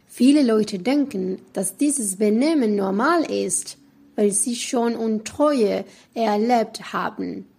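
A woman in her twenties, a native Spanish speaker, reading a prepared talk in German as a student presenter, slowly and carefully. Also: fairly high pitch, vocal fry, a little mellow, husky. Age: 20s.

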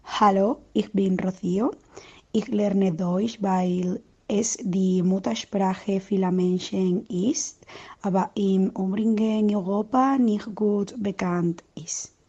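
A woman speaks slowly in a husky voice. It is a prepared student statement with dull, lo-fi sound. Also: mid-range voice, fairly even intonation, warm tone. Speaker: language student. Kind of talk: prepared self-introduction. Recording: dull lo-fi student audio clip.

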